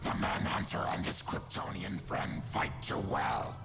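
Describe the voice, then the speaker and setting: Alien villain in a game, menacing low growl, creaky, over music. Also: male voice, a little slow. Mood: urgent, menacing. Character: menacing male alien invader.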